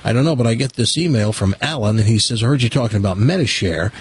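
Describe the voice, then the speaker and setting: Husky American man hosting talk radio. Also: medium pitch, fast. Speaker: radio talk show host. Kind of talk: casual live radio talk with ad reads and caller chat.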